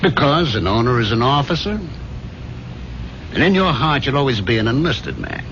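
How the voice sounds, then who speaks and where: Brash wheeler-dealer boss played by a man, glib and overbearing, very animated delivery, dull noisy old radio recording. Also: mid-range voice, moderate pace, lightly acted. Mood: lecturing, scornful. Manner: glib, slangy, overbearing patter. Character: brash, fast-talking, domineering businessman boss. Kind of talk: 1970s radio drama acting.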